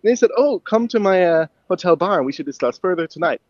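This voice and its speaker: An eager young man from Ireland, a touch higher-voiced than most, chats easily in a radio interview. His voice comes through a dull, muffled line. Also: lively intonation, fast, darkish tone, dry recording. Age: young adult. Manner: eager, friendly, laughs easily. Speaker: guest inventor.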